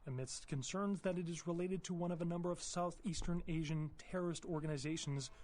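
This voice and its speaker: A man's voice: fairly high pitch, fairly even intonation, brisk, a little mellow, close to an everyday voice. A man playing a serious TV news anchor reading a scripted newscast within a video game. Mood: serious, formal.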